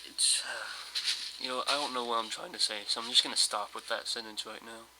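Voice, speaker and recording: A man who sounds slightly hoarse from just waking up, giving casual, rambling commentary over his gameplay with lots of hesitations and fillers. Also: mid-range voice, level tone, brisk, noisy background. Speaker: let's play host.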